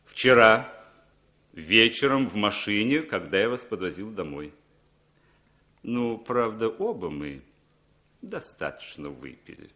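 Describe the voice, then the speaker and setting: An actor playing a smooth, sly foreign businessman in radio drama, insinuating and theatrical. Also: lowish voice, takes it slowly, long pauses, slight background noise, heavily acted. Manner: suave, insinuating, theatrically expressive. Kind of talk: 1960s radio drama acting.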